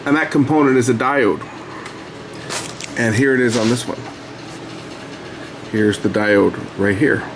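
A man's voice: mid-range voice, measured pace, long pauses. A middle-aged man giving a casual, conversational hands-on repair commentary, explaining as he works at an indoor bench. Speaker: repair video host. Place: indoor workbench. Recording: noisy room recording.

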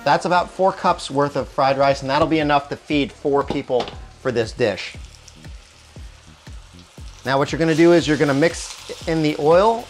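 A man in his forties hosting a cooking show, explaining each step calmly in a home kitchen with small restarts, on a lapel mic. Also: fairly high pitch. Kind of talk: cooking demonstration. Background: soft music and sizzling wok.

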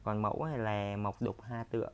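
A man's voice: fairly low pitch, steady tone, brisk, smooth. A man giving a chatty, repetitive sales walkthrough of furniture in his workshop, filmed on a handheld phone. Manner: chatty, repetitive, filler-heavy.

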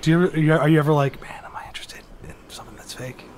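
A man's voice: a little high, a little mellow, a little hiss or hum. A man co-hosting a podcast, chatting casually in an easygoing, joking way. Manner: easygoing, joking, quick agreeing replies. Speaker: podcast co-host. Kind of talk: casual chat.

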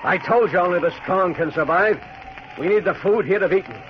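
Man doing a cold ruthless trapper, fairly high voice, hissy old radio sound. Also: brisk, close to an everyday voice. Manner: hard, commanding, callous. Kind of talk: 1940s radio acting. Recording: dull old radio broadcast with hiss.

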